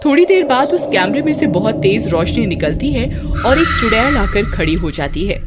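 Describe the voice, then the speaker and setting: Female narrator for scripted horror tales, mid-pitched, very animated delivery. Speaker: story narrator. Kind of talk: scripted dramatic narration of a Hindi horror story.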